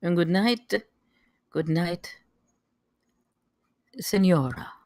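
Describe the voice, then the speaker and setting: A woman's voice: lowish voice, animated, very slow, a little mellow, no room sound, clean studio sound. A woman playing a proud, defiant noblewoman, speaking formally and with measure in a script table read. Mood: restrained. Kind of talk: live table read of a screenplay.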